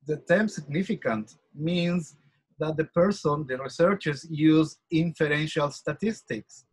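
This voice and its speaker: A man with a slightly high, husky voice and a walks through research slides in an online seminar. He explains carefully, often restarting his phrases as he thinks aloud over a video call. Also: a little slow, low-quality recording. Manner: explanatory, hesitant with frequent restarts. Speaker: panelist presenting research. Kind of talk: academic talk over slides.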